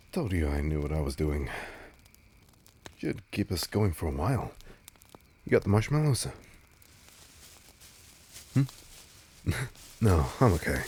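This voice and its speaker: A man playing a laid-back, teasing, protective vampire on a date in an intimate audio roleplay, speaking casually with a husky, breathy voice. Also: low voice, darkish tone, heavily acted. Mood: teasing, fond.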